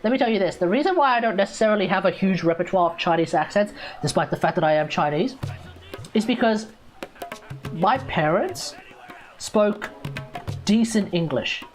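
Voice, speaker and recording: A woman's voice: mid-range voice, animated, normal speed, pauses often, faint music behind. Chatty Australian woman streaming. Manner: chatty, rambling, repeats herself. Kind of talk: casual livestream chat while gaming.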